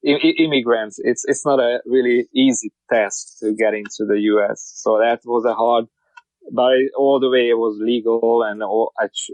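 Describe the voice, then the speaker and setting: A man from Hungary speaking English with a Hungarian accent as a podcast guest, talking in broken, halting sentences with many repeated words and restarts. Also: mid-range voice, steady tone, darkish tone, no echo, rough recording. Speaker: guest, car wrap shop owner. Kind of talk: casual interview.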